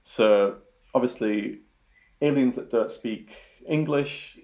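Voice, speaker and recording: A man's voice: medium pitch, slow pace, a little mellow. A young man with a Yorkshire accent, a researcher answering interview questions in a hesitant way, full of ums and repeated words. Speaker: robotics researcher guest.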